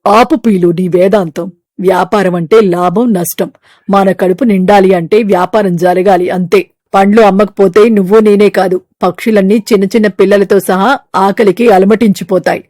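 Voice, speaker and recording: A woman plays a gruff male eagle chief from an animated storybook, blunt and domineering, with a mellow, warm tone. The sound is close and dry, with no room around it. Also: mid-range voice, normal speed, dry recording, lightly acted. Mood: dismissive. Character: stern male eagle trader, fruit market boss.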